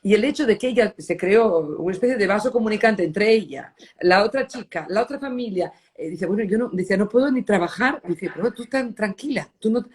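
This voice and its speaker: A middle-aged woman from Spain, speaking in a reflective interview and often pausing to search for words, with Italian words slipping in. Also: mid-range voice, a little mellow. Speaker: designer interviewee.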